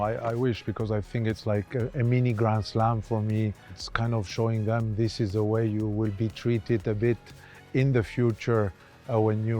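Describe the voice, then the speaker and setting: A man's voice: on the low side, a little mellow. A man talking reflectively in an interview, often slipping in "you know". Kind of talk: interview talk.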